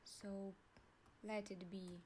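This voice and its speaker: A young woman with a Russian accent, calmly walking viewers through an online form in a tutorial. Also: medium pitch, a little hiss or hum. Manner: slow, deliberate, pauses while clicking. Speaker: university staff guide. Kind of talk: screen-recorded tutorial walkthrough.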